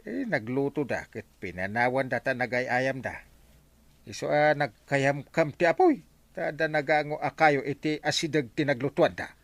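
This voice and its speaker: A man's voice: medium pitch, moderate pace, no echo, natural voice. A man playing a whining, theatrical village captain in an Ilocano radio comedy drama. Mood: serious. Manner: wailing, exclamatory, theatrical. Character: whining village captain, comic complainer fond of liquor.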